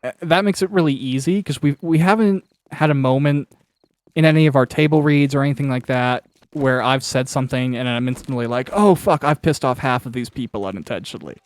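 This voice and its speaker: A man's voice: medium pitch, fairly quick, no echo. A man hosting a casual chat while playing a video game, rambling along, swearing casually and acting out imagined quotes. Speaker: podcast host interviewing a cast member.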